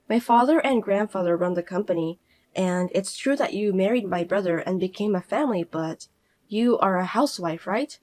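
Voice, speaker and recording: A woman voicing a calm, cool-headed young working woman who speaks quietly and firmly, narrating in the first person. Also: medium pitch, close to an everyday voice.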